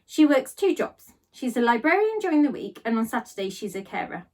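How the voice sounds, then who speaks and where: Female storybook reader, higher voice, animated first-person narration, recorded a little distant. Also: a little fast. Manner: animated read-aloud delivery. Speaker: teacher reading a children's novel aloud.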